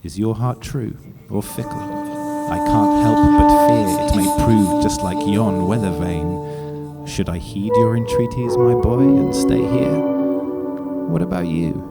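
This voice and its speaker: A man's voice: fairly low pitch, big pitch swings, measured pace, over loud music. A man playing a coy, wary young maid in love, speaking poetic lines in a dramatic verse reading.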